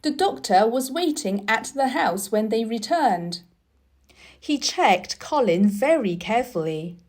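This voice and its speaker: A woman reads a storybook aloud as scripted narration for learners. Her voice is fairly high and very lively in pitch, and she takes her time with a slow, deliberate delivery. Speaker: audiobook reader.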